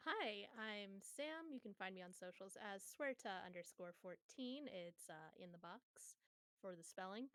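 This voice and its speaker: A woman's voice: mid-range voice, expressive, unhurried, a bit bright, no room sound. A woman introducing her character in a tabletop game, playful and wry. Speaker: player. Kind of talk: casual chat.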